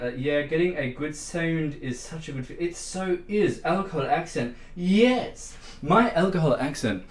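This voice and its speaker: Male streamer, slow and rambling, chatting from a roomy space. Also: medium pitch. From Australia. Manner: rambling and self-deprecating, many fillers. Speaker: livestream host. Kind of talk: casual livestream chat. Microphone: streaming microphone. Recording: echoey room.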